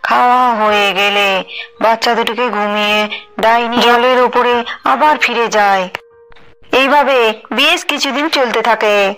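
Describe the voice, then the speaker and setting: A woman reads a scripted tale as its narrator. Her voice is fairly high and very bright, with a clear storytelling purpose. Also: fairly even intonation, a little slow, pauses often, poor audio.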